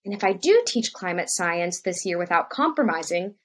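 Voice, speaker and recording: A woman's voice: lowish voice, expressive, normal speed. A woman acting as a troubled young first-year science teacher in a scripted podcast drama, earnest and hesitant. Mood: anxious, questioning.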